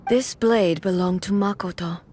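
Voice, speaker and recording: A woman playing a regal, solemn goddess of war in a video game, speaking in formal, measured phrases. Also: mid-range voice, a little mellow, a little hiss or hum, lightly acted. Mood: solemn, reflective. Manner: formal, measured, stately delivery. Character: solemn, regal warrior goddess and her gentler, kindly predecessor. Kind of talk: dramatic video game voice acting. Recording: studio voice over game music.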